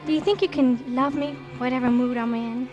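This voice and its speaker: A woman's voice: high voice, fairly even intonation, brisk, highs cut off, over music, natural voice. A woman playing a coy young princess. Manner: girlish, coy. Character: sweet young princess, laundress's adopted daughter. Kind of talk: 1940s radio musical. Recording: old radio broadcast with orchestra.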